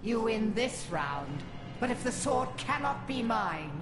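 An imperious villainous sorceress for a video game, gloating and commanding. Her pitch sits fairly high and moves dramatically, and she takes her time in an echoing space. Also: very animated, slow pace. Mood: menacing. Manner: commanding, taunting, theatrical. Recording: echoing, loud game music behind.

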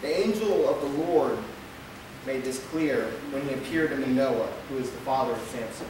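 A man's voice: mid-range voice, moderate pace. A young man delivers a sermon from his notes at the pulpit, reading steadily and tripping over a word now and then. His voice rings through a very echoey church sanctuary, picked up from a distant camera with a noticeable hiss behind it. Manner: reads steadily from a script at the pulpit, with occasional stumbles and filler. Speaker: lay preacher. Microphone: pulpit mic, camera at the back. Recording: echoey church hall recorded from afar.

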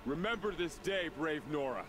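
Man acting a solemn war chief, high-pitched, noisy. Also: animated, normal speed, natural voice. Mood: solemn triumph. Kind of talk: video game voice acting. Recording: noisy game audio with outdoor ambience.

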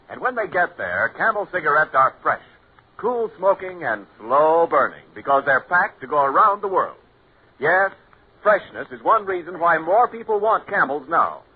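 A man's voice: on the high side, slightly rough. A man with an American accent reading advertising copy as a 1940s radio announcer. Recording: tinny 1940s radio recording.

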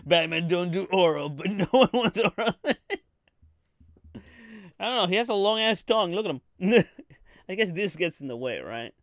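A man's voice: high voice, lively intonation, normal speed, long pauses, no room sound, studio quality. Giggly, rambling man streamer. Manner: giggly, rambling, crude jokes, frequent laughter. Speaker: streamer reacting to anime trailers. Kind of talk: casual live-stream reaction chat.

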